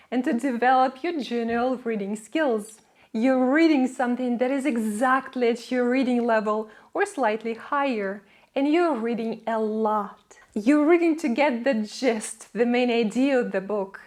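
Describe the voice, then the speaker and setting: A young woman whose first language is Russian, giving a scripted English lesson to camera with friendly, playful asides. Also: fairly high pitch. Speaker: English teacher.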